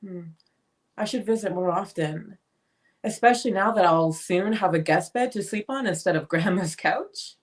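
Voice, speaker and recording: A woman playing a chatty, affectionate and slightly vain older sister in a dramatic script reading, expressive and teasing. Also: mid-range voice, normal speed, natural voice. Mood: teasing, probing.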